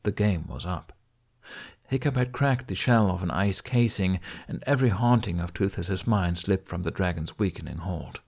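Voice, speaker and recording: A man with a fairly low voice narrates an audiobook. He reads scripted fiction expressively, as a storyteller. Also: moderate pace, darkish tone, slightly rough.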